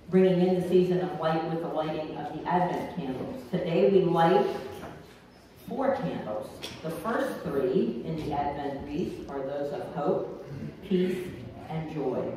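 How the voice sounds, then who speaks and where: Older female pastor, unhurried announcements, distant pulpit mic in a reverberant sanctuary with room noise. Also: low voice, mellow. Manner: homely, unhurried, with frequent ums. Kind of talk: church announcements and liturgy. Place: church sanctuary. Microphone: pulpit mic from a far camera. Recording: echoey church from far off, noisy.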